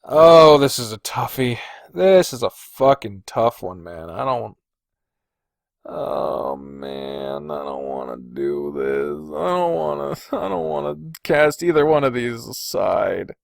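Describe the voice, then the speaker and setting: A man talks unhurriedly as a solo podcast host, close and dry with no room sound. He deliberates out loud, hesitant and casual, and swears freely as he goes. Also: on the low side, expressive, gravelly, no room sound. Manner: hesitant and deliberating, sighing, profane. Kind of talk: casual solo podcast commentary, thinking aloud.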